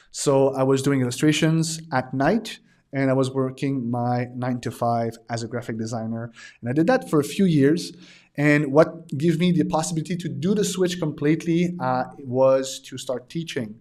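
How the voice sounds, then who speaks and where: Man losing his voice, halting. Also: medium pitch, a little mellow. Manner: hesitant, many "uh" fillers and restarts. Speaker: digital art teacher hosting a livestream. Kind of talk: casual livestream talk to viewers.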